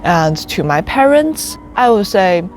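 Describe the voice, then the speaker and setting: Woman, twenties, from China, native Chinese speaker, candid casual talk, middle pitch, faint music behind. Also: very animated, measured pace. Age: 20s. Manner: open, frank, with frequent restarts and laughs. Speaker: interviewee. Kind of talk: casual conversational answers. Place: rooftop terrace outdoors.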